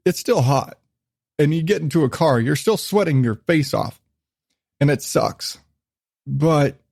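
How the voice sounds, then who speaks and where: A man's voice: medium pitch, darkish tone, no echo, studio quality. A man in his thirties hosting a casual solo podcast, rambling and swearing freely, still getting over a cold with a lingering cough. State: recovering from illness, lingering cough. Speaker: podcast host.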